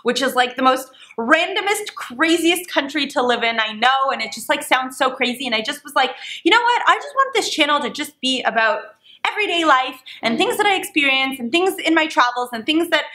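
A young woman from Canada chatting to the camera in a vlog, rambling and animated with lots of fillers. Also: high-pitched, fairly quick. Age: young adult. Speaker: vlogger. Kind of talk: casual chat to camera. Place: small dim living room indoors.

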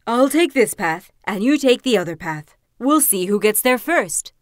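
A woman's voice: fairly high pitch, lively intonation, normal speed, dry recording, clean studio sound, lightly acted. Woman doing a sly, falsely sweet wolf.

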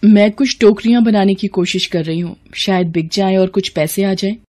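A woman's voice: medium pitch, normal speed, darkish tone, natural voice. Earnest, worried young wife voiced by a woman. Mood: earnest, respectful.

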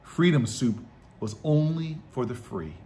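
A loving Haitian grandmother from a picture book, read by a man. The storytelling is slow and dramatic, each phrase measured out. Faint noise sits behind the voice. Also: mid-range voice, expressive, dark tone. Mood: solemn.

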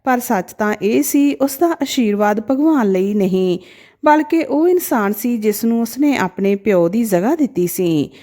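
Woman telling an emotional moral story, highish voice, lots of up and down, dramatic. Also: animated, measured pace, warm tone.

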